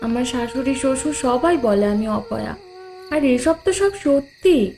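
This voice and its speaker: A woman's voice: sits high, lively intonation, a little slow, dark tone. A woman playing a meek, sorrowful young village widow who believes herself cursed, in an audio drama. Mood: sorrowful, bitter. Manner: meek, self-deprecating, plaintive. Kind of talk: dramatised audio horror story acting.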